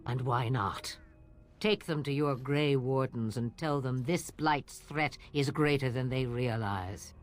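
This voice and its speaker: A woman's voice: very deep voice, slow. An actress playing a sly old swamp witch who talks in teasing riddles. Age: older.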